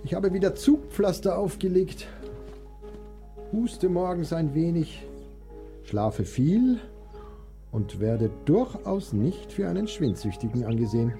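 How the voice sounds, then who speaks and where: A man acting a sickly, melancholic and capricious young composer in a German radio play, theatrical, drifting between languor and excitement. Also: fairly high pitch, slow, vocal fry, long pauses, full character voice. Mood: excited, boastful. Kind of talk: German audio drama acting. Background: music.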